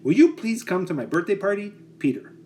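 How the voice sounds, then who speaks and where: A man's voice: high-pitched, very animated, fairly quick, a little mellow, far from the mic, slight background noise, pitched above the performer's own voice. A man voicing an earnest, shy young boy in a children's story, speaking a little hesitantly.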